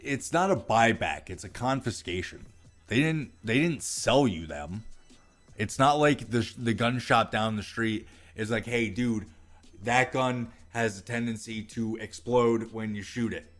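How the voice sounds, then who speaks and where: A man's voice: lowish voice, a bit bright. An American man reading aloud and ranting casually about it, with profane, exasperated and sarcastic commentary. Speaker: political commentary vlogger.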